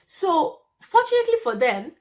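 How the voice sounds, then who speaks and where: A woman's voice: very high voice, very animated, moderate pace. A young Nigerian woman whose first language is Yoruba, chatting casually to her viewers and often checking in with "okay?". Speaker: vlogger. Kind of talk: casual storytelling to camera.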